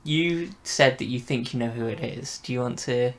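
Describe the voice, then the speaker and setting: A man's voice: medium pitch, normal speed. A man hosting a casual review chat, leading his co-host along with questions and prompts. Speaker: host of an audio drama review show.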